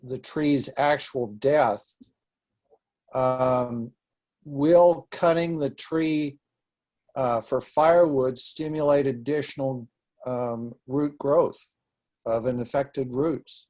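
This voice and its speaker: A man's voice: mid-range voice, steady tone, slow pace, a little mellow. A man in the audience asking and discussing questions in a hesitant way, with fillers and restarts. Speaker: audience member.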